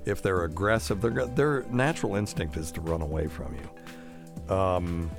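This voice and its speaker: A man's voice: low-pitched, a little slow, music in the background. A man hosting a casual live show, answering listener questions in a folksy, rambling way and explaining medical terms plainly.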